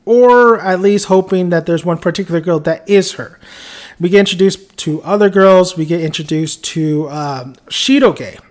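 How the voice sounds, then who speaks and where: A man's voice: high-pitched, normal speed, darkish tone. A man with a Mexican accent giving a casual, rambling video review, often restarting his sentences and chuckling as he talks. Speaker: anime reviewer.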